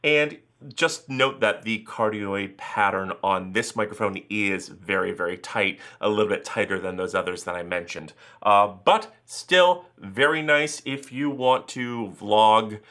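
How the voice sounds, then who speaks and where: A man with a very deep voice reviewing gear in a casual vlog, talking in a relaxed way with many uhs. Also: measured pace, hardly any pauses. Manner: relaxed, filler-heavy talk with many uhs.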